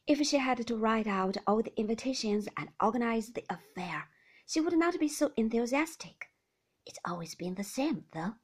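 A brusque gentleman of the house speaks bluntly, with weary dry humor. A woman reads him in an audiobook with a breathy, husky voice. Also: on the high side, expressive, moderate pace. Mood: irritated.

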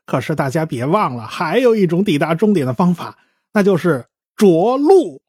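Guy acting as eager space race commentator on tv, husky voice, dry sound, chatty explaining. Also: on the high side, big pitch swings, moderate pace, darkish tone, no room sound. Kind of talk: live TV commentary in a radio drama.

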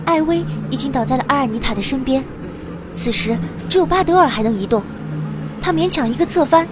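A woman playing a sensitive young heroine who narrates a fantasy audio drama, earnest and expressive. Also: sits high, brisk, noisy background. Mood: anguished, tense. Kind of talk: dramatic scripted first-person narration.

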